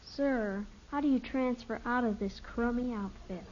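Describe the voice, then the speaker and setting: A woman's voice: a little high, unhurried, a little mellow, natural voice. A female performer playing a cheeky little American girl, whining and plaintive in 1950s radio sitcom style. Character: whiny, cheeky little girl, tattling youngest daughter. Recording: muffled, hissy vintage radio recording.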